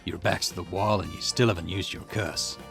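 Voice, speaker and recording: A man acts out a gruff, hard-boiled detective in a visual novel. The voice is fairly low, the manner blunt, slangy and confrontational. Music plays underneath. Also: fairly even intonation, brisk. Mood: blunt, accusing.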